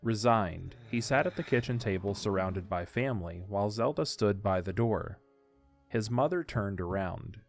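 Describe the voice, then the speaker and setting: A man's voice: lowish voice, lively intonation, moderate pace, gravelly, soft background music. A man reading scripted story narration for an audio drama.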